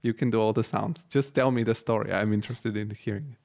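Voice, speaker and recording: Bulgarian-born guy in his 30s hosting a podcast, boom mic at home, friendly, lots of ums. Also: steady tone, quick pace, creaky, a little mellow. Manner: friendly, hesitant, many fillers. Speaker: podcast host. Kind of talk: casual interview. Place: small home studio. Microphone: studio boom mic, headphones.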